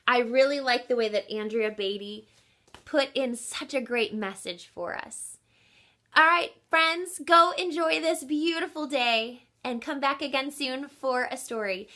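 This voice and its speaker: A woman teacher reading a picture book aloud to children in an expressive, instructive way, with deliberate emphasis. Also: on the high side. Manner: teacherly, asks listeners questions, deliberate emphasis.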